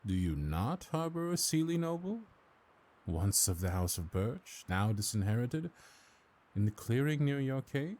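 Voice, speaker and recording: A man playing an ancient, stately high councilor in a fantasy audio drama, formal and pompous, with slyly condescending courtesy. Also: big pitch swings, a little slow, darkish tone. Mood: accusing. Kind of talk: audio roleplay fantasy acting.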